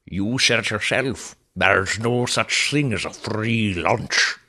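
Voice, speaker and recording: A man's voice: fairly low pitch, slow, sharp tone, exaggerated voice. A man voicing Mega Man, a weary old superhero. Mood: sardonic. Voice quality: surprisingly strong, wheezy. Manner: weary, dry and sardonic. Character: old stooped weary male superhero, wry.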